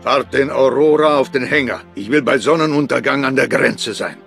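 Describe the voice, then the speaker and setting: Racing boss for a game: gravelly, forceful, curt, commanding. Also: male voice, medium pitch, moderate pace, soft background music. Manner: curt, authoritative. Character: brisk, commanding male racing team boss. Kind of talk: video game voice acting in German.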